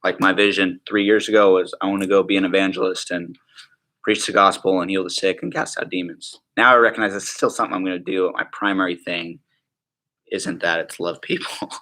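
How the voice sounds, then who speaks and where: Young guy teaching a prayer class, lowish bright voice, chatty and rambling, lots of okay and right. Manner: conversational, rambling, laughs softly, asks the room "right?". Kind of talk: informal teaching talk.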